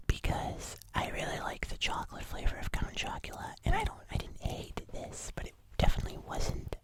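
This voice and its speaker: An ASMR host giving a casual food review in a breathy whisper, often pausing to correct themselves.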